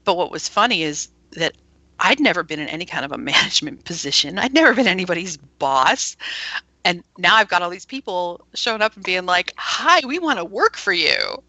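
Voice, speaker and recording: Bright-voiced chatty woman guest, laughing often. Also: on the low side, expressive, a little fast, no echo, low-quality recording. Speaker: internet radio station founder, guest. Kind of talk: casual interview chat.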